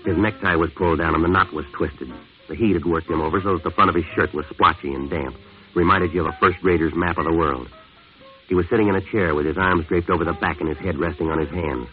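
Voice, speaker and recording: Guy doing old radio noir tough guy, low deadpan voice, rattles it off fast, wisecracking, thin tinny sound. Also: soft background music, close to an everyday voice. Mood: wry, world-weary. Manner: deadpan, clipped, sardonic. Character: hard-boiled, wisecracking male jazz-age antihero, world-weary and terse. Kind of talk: 1950s radio noir narration and acting. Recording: tinny, band-limited vintage radio recording.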